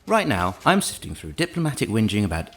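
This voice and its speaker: A harried palace official, with a very low voice and lively, swinging intonation. He is curt, sardonic and impatient, in the manner of audio drama. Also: fast talker, slightly rough, exaggerated voice. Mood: exasperated.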